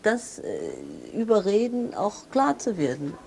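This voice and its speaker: An actress playing a grieving, guarded woman in a naturalistic radio drama, speaking haltingly. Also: mid-range voice, wildly swooping pitch, vocal fry, darkish tone, a little hiss or hum, heavily acted. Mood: strained, guarded. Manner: halting, with pauses and restarts.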